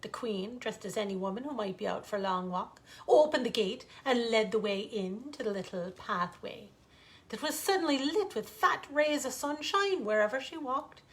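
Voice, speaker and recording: A woman author reading a bedtime fairytale aloud in an expressive, theatrical, lilting way with dramatic pauses. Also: fairly high pitch.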